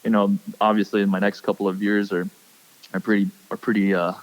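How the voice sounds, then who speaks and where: A young man from Hawaii, a pro angler, chatting as a podcast guest and speaking haltingly with many fillers. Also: lowish voice, level tone, poor audio. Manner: hesitant, many fillers and restarts. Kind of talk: casual podcast chat.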